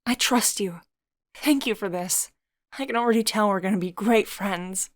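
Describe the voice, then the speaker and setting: A woman's voice: medium pitch, moderate pace, no room sound, studio quality, natural voice. A woman voicing a shy, self-conscious young adult who is a boy inside, speaking hesitantly and timidly. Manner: hesitant and timid, soft sheepish replies.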